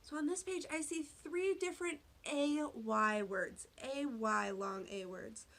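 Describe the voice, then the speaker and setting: A woman teaches young children to read in a bright, fairly high voice. She goes slowly and deliberately through a story and its sounds, spelling words out and putting questions to her listeners. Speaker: elementary school teacher. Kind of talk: read-aloud phonics lesson for young children.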